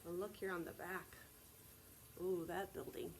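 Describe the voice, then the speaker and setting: A woman preschool teacher reading aloud to young children in a deliberate, child-directed way, often asking prompting questions. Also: fairly low pitch, level tone, slight background noise. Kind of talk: read-aloud and sing-song circle time for young children.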